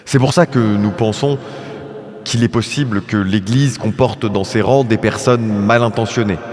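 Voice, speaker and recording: A man's voice: lowish voice, normal speed, long reverb tail, a crowd in the background, lightly acted. A man playing a determined, earnest insect war veteran in an audio drama. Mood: nervous, deferential.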